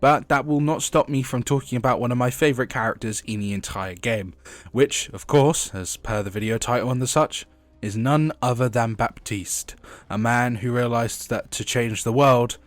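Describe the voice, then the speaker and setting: A young man narrates a video essay with a stuffy, sniffly head-cold sound. He reads from a script in a mid-range voice and breaks off for casual asides. Soft music plays quietly behind him. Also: mid-range voice, normal speed. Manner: chatty asides while reading lore.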